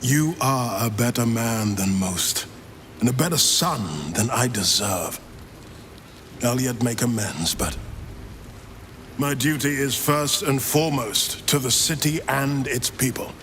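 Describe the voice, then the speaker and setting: A man's voice: medium pitch, slow pace, fairly bright tone, a little hiss or hum. An actor playing a proud, anguished older grand duke and father, with solemn, formal delivery in a fantasy game. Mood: solemn, resolute. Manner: solemn, formal, theatrical oratory. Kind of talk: dramatic fantasy game acting.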